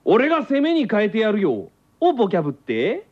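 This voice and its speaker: A radio host with a high, wildly swinging voice and a Kansai accent. He trades casual comic banter in a blunt, teasing way. The man is heard on a muffled, lo-fi recording. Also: huge pitch swings, brisk.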